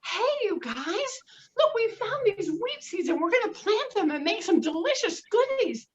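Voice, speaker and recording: A bubbly mother hen, squealing and gushing as she rounds up her chicks, as a woman puts her on for a children's story. She pipes up very high and loud, swooping wildly from note to note. It comes through a slightly dull lo-fi recording. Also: very animated, unhurried, full character voice. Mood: excited.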